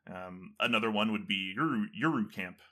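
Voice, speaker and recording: Man, bright forceful tone, enthusiastic casual talker, lots of fillers. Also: lowish voice, moderate pace. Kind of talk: casual conversation.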